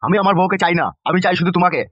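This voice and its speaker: A man's voice: high-pitched, rapid-fire, a bit bright, dry recording, lo-fi sound. A man voicing a bullying, lecherous local politician in a comic cartoon, crude and blustering. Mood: possessive demanding. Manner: blustering, crude, exclaiming in repeats.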